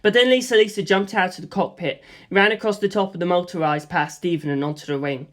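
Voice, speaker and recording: A reader telling an adventure story in the first person, moving through the scenes in a steady, plain way. The voice sits at an ordinary middle pitch and stays even and unhurried from line to line. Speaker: audiobook reader.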